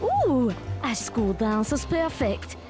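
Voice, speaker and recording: A woman's voice: a little high, huge pitch swings, takes it slowly, music in the background, exaggerated voice. A woman playing a playful, teasing and encouraging teenage schoolgirl in an audio drama, with a coaxing manner.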